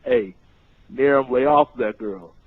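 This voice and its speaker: A man leaving a friendly voicemail shout-out, laid-back and slangy. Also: medium pitch, unhurried, dry recording. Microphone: phone. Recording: thin phone-line sound.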